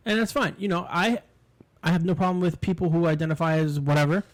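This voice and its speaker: A man's voice: a little high, fast talker. A man from New York co-hosting a podcast, talking in a rambling, opinionated way with swearing and restarts. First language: English. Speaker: podcast co-host. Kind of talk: casual chat.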